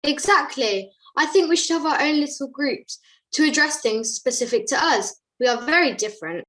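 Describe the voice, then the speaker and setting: A woman voices a snobbish cuddly toy in a comedy puppet show. It is forthright and impatient, with a bright, high, lively voice. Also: moderate pace, low-quality recording. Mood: self-satisfied. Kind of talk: scripted puppet comedy dialogue.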